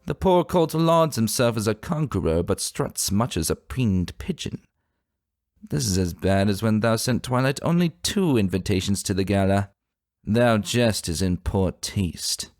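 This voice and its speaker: A man's voice: lowish voice, lively intonation, measured pace, a little mellow, no room sound, clean studio sound. A man voicing a haughty pony princess in a fantasy story, scornful and imperious, with archaic phrasing. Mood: mocking. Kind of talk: dramatic fantasy story reading. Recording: music behind the voice.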